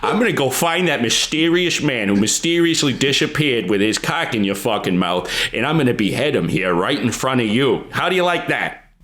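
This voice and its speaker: A man's voice: mid-range voice, lively intonation, normal speed, a bit bright, natural voice. A man playing a crude, vengeful jilted man who narrates his own tale melodramatically in a parody radio drama. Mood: menacing, boastful. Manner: profane, melodramatic. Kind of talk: improvised parody of old time radio drama.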